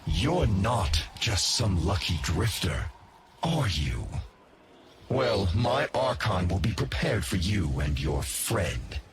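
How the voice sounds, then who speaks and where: An actor playing a scornful, imperious machine-being warlord in a video game, speaking with theatrical, deliberate menace. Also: male voice, fairly low pitch, big pitch swings, a little hiss or hum, exaggerated voice. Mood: menacing. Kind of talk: cinematic game villain acting.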